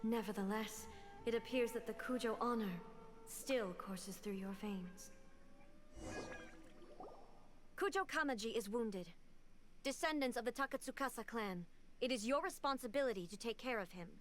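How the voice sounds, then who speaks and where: An actress playing a stern immortal female shogun in a video game, speaking formally and with measured command. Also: a little high, faint music behind, natural voice. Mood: solemn, commanding. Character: stern, all-powerful immortal female shogun ruler, cold and imperious.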